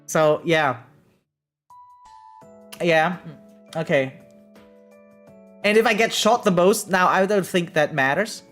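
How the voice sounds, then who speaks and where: A livestreamer reacts casually over background music, switching between reading aloud and chatting. The voice sits at a middle pitch. The delivery is animated and exclamatory, with frequent "right?" check-ins. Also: slow pace, long pauses. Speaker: variety streamer. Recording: music behind the voice.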